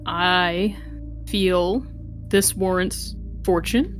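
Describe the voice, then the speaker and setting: A woman's voice: fairly low pitch, very slow, soft background music. A woman chatting casually as a player in a tabletop role-playing game, thinking aloud.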